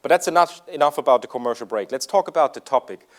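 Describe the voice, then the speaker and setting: A man's voice: mid-range voice, expressive, fast. A man from Germany with a German accent giving a conference presentation in English, with frequent ums and uhs. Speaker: conference speaker. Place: conference room.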